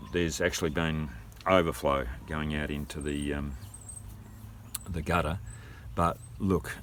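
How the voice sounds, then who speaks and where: A man's voice: rumbling low, slight background noise. An older man with an Australian accent, explaining things casually and hesitantly as a homeowner, thinking aloud as he goes. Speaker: homeowner and gardener showing his water tanks.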